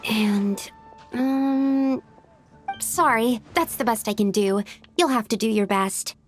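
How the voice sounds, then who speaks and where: A bookish young female alchemist from a video game. Her voice is fairly high and soft, shy and courteous, with music playing behind her. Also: slow. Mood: concerned, apologetic. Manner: soft-spoken, hesitant, courteous. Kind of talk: video game character acting.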